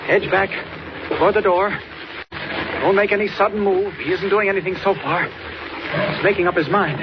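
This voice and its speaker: A man's voice: high-pitched, moderate pace, close to an everyday voice. An actor playing a mild, cautious, earnest man looking after a younger companion, in 1940s radio serial style. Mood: urgent. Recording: very noisy muffled old radio recording.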